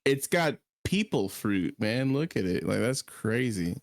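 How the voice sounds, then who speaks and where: A man's voice: mid-range voice, sing-song, unhurried. A man co-commentating on a livestream in casual, slangy reaction chat with some swearing.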